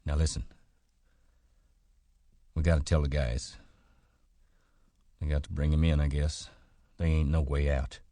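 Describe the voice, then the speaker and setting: A man's voice: rumbling low, level tone, measured pace, pauses often, mellow, no room sound. A man doing a tense, urgent ranch worker. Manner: urgent, clipped, rough speech. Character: worried, tough male ranch worker.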